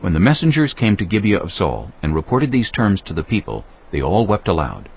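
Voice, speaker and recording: Very deep, husky male scripture narrator. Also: very animated, fairly quick. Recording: slightly muffled studio sound.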